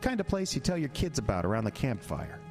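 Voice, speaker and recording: A man's voice: mid-range voice, huge pitch swings, fast, gravelly, a little mellow, soft background music. An actor playing a defensive, evasive middle-aged man in an audio drama, stammering and dismissive. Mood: uneasy storytelling.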